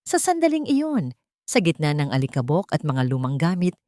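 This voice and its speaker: A woman's voice: low voice, sing-song, moderate pace, dark tone, no room sound, studio quality. A woman narrator reading a scripted dramatic story.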